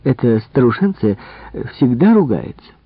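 A man voicing a chatty, indignant young French housemaid who gossips with animated exclamations. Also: medium pitch, normal speed, husky, no room sound, pitched above the performer's own voice. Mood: excited, indignant. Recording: muffled, phone-like narrow-band audio.

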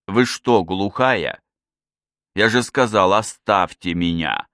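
A man's voice: low voice, takes it slowly, fairly bright tone, no room sound, clean studio sound, heavily acted. A man reading the part of an irritable, brooding old Frenchman in an audiobook. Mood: agitated, hostile. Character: bitter, irritable old Frenchman returning to his northern hometown.

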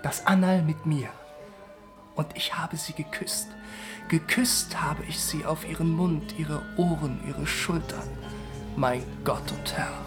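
A man's voice: fairly high pitch, fairly even intonation, slow, over music. An actor playing a lovesick, slightly tipsy young man in a literary radio drama, with a rapt, confiding delivery. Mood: rapt, dreamy.

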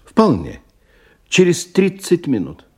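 An actor playing a middle-aged businessman in a radio drama, ironic and musing, in an unhurried way. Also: on the high side, huge pitch swings, darkish tone, exaggerated voice. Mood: obliging, brisk. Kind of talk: radio drama acting, interior monologue.

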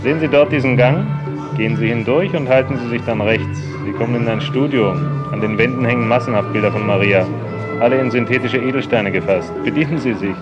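An actor playing a cool, calculating industrial magnate in a 1970s German radio play. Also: male voice, mid-range voice, a little fast, natural voice. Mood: cool, instructing. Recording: dull recording with loud background music.

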